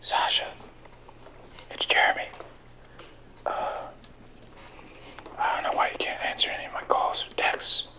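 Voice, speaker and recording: A man leaving a voicemail message in a breathy, unvoiced whisper. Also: unhurried, pauses often, sharp tone, rough recording. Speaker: voicemail caller.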